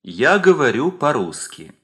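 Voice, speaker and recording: A man reading language drill sentences slowly and with very clear articulation. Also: mid-range voice, big pitch swings, bright, forceful tone. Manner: slow, deliberate, clearly articulated. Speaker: course speaker.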